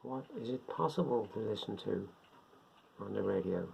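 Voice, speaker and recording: A man giving an informal, unscripted beginners' explainer in a rambling, step-by-step way, repeating himself, with a gravelly, husky voice. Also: lowish voice, normal speed, darkish tone, a little hiss or hum. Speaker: hobbyist presenter of a beginners' guide. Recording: dull lo-fi home recording.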